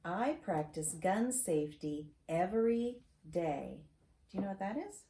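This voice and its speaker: Mellow middle-aged woman reading in an echoey room. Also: medium pitch, very animated, measured pace. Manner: encouraging, asks questions and checks understanding with "right?". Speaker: storyteller reading to grandchild. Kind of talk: children's picture-book read-aloud with chatty asides. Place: wood-shelved home study, indoors. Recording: roomy echo, faint noise, clicks.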